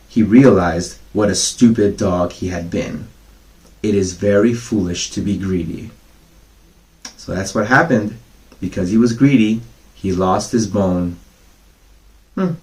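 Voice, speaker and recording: Low-voiced man reading bedtime fables. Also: poor audio. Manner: gently didactic, explains each moral. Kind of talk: storybook narration with casual asides to kids.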